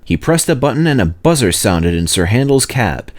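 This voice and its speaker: A man reading a children's story aloud as narrator, in expressive scripted narration. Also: medium pitch, moderate pace. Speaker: narrator, reader of children's stories.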